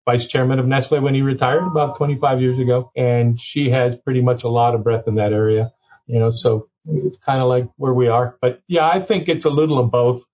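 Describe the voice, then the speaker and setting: A man's voice: medium pitch, steady tone, brisk. A Cuban-born man who is a company's top lawyer, answering questions in a loose, rambling way. His voice sits in an ordinary middle range and he trails through fillers and side remarks. The audio is a little dull and lo-fi. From Cuba. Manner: rambling and self-deprecating, with frequent fillers. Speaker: chief legal officer. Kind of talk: conversational interview.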